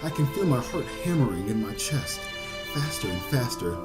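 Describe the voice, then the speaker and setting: A man hosting a visual novel let's play, reading aloud and commenting in a halting way with repeated phrases, over game music. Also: mid-range voice, sing-song. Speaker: gaming video host. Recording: music behind the voice.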